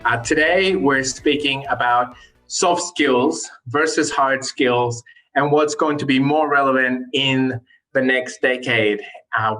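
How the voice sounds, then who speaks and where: A man presents as a podcast host. His voice sits at an average pitch and has a bright, forceful edge that carries each phrase. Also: rough recording. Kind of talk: sponsor reads and panel hosting.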